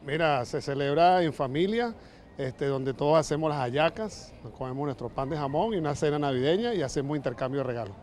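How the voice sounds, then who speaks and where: A man's voice: on the high side, expressive, a little fast, a little mellow. A man with a Venezuelan accent giving casual answers in a street interview. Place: outdoors on a main street. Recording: street interview with faint outdoor noise.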